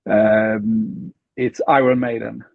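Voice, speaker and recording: A Swedish man with a low, gravelly, husky voice, answering slowly and hesitantly with frequent fillers. The recording is dry and dull, with a muffled lo-fi sound. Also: level tone, no room sound. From Sweden. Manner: hesitant, many uhs and ums. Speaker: guitarist. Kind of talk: casual interview chat.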